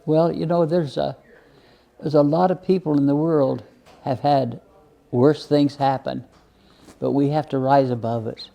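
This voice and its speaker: A man in his eighties or older telling personal stories as an interview guest, plain-spoken and halting, often repeating words. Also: fairly high pitch, fairly even intonation, creaky, warm tone. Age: over 80. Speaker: interview guest, retired minister. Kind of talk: reflective personal storytelling in an interview.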